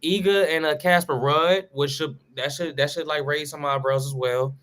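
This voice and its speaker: A man's voice: a little high, fairly even intonation, normal speed, fairly bright tone. A man co-hosting a casual sports talk show, talking loosely in slang with lots of fillers and restarts. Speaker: podcast co-host.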